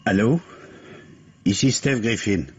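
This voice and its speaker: A man's voice: lowish voice, slow, noisy background. An actor playing an anxious, devoted husband, a businessman, urgent and hesitant, in the manner of old French radio mystery drama. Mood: surprised concern. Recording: muffled, indistinct old radio recording.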